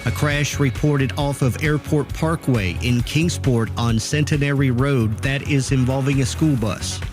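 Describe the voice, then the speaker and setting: A man's voice: medium pitch, unhurried. A man reading a scripted radio traffic report. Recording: music bed with guitar. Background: guitar music.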